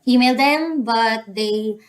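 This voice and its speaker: A woman's voice: on the high side, slow pace. A young woman with a Filipino accent, casually talking through an online form step by step, with many "um"s and "you know"s. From Philippines. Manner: hesitant, full of "um" and "you know" fillers. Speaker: channel host walking through a form. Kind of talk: casual screen-recorded tutorial.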